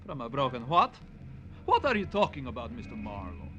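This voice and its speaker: A man's voice: mid-range voice, huge pitch swings, brisk, sharp tone, muffled recording, slight background noise. A man acting a delicate, anxious dandy in an old radio drama, speaking in a precise, formal, fretful way. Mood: bewildered. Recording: vintage radio recording, faint music.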